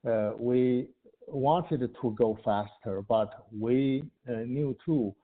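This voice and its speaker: A man answering questions as a company executive on an earnings call, speaking haltingly and hesitantly in short broken phrases. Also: medium pitch. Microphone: conference call line. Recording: tinny, narrow phone line.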